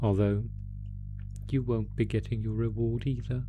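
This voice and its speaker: A man playing a sadistic monster who taunts captive prey with theatrical, drawn-out menace in an ASMR horror audio roleplay. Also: on the low side, level tone, music in the background, natural voice. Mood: mocking, toying.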